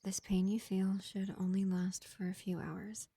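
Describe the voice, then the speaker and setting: A woman voicing a kindly vampire field medic in a soothing, unhurried, whispery roleplay addressed to the listener. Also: mid-range voice, level tone, warm tone, slightly rough, dry recording. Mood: reassuring, regretful. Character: gentle, kindly vampire field medic tending a wounded elf.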